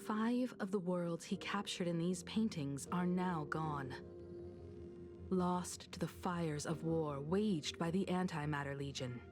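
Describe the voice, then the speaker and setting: A woman voicing a composed, grave young woman in a video game, speaking in a measured, restrained way. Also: lowish voice. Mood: solemn, reflective. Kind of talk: dramatic video game voice acting. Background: prominent music.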